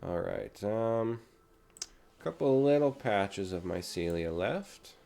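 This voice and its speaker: A low-voiced young man, hoarse and raspy from a cold, chatting easily as he plays. His tone is dark and mellow, and he talks at a desktop mic. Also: takes it slowly. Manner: relaxed, chatty, talks while playing. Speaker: gaming channel host. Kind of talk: casual gameplay commentary.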